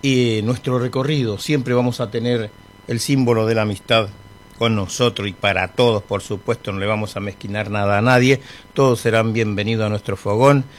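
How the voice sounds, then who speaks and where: A middle-aged man with an Argentine accent, hosting a radio music show in a relaxed, rambling way between songs, with bits of humour. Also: lowish voice, normal speed. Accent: Argentine Spanish. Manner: chatty, rambling, friendly with humour. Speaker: radio host. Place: radio studio.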